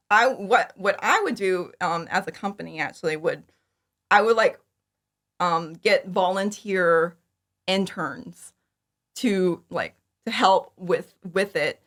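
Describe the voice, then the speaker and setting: A woman's voice: lowish voice, takes it slowly, a bit bright. Chatty, enthusiastic woman. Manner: enthusiastic, giggly, informal. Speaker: podcast guest, fan audio drama creator. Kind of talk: casual chat, interview answers.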